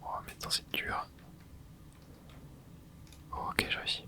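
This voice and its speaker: A host whispering casual ASMR commentary over gameplay, reacting excitedly and chatting to viewers. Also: a little slow. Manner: casual, chatty, self-correcting. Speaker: channel host.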